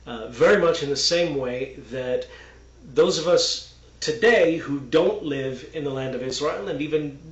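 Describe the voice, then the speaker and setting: A man raised in Texas, speaking unscripted to the camera, halting with many fillers and restarts as he explains. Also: fairly high pitch, roomy sound, dull audio. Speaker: religious commentator. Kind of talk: unscripted video monologue.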